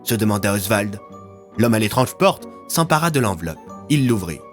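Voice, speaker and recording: Guy narrating an audio story, lowish voice, dramatic scripted read, music behind. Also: big pitch swings, normal speed.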